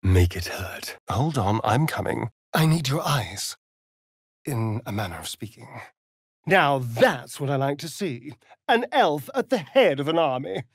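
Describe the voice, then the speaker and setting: A man with an English accent playing a sardonic, vain elven vampire rogue in a video game, arch, mocking and theatrical, with a breathy voice. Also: medium pitch, big pitch swings, unhurried, no room sound, clean studio sound, heavily acted. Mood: low, cool. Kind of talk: video game character voice lines, theatrical delivery.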